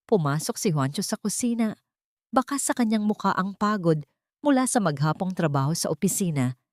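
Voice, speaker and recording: A woman storyteller giving a solemn, expressive scripted narration of a dramatic audio story. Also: on the low side, no echo, clean studio sound.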